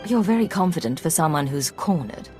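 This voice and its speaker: A woman's voice: deep voice, animated, fairly quick, mellow, soft background music. A woman playing a cool, confident Imperial agent in a video game, terse and self-assured. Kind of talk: dramatic video game acting.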